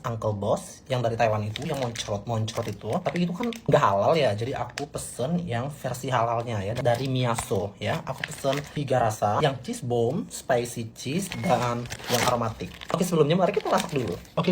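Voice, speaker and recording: A man's voice: medium pitch, a little hiss or hum. A man with an Indonesian accent hosting a casual mukbang, playful and exclamatory as he talks. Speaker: mukbang host. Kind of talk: casual mukbang vlog narration.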